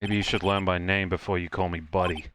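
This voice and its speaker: A woman's voice: rumbling low, steady tone, fast talker, a little hiss or hum. A woman voicing a flustered, exasperated young male defense lawyer in a game fan dub. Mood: dry, irritated.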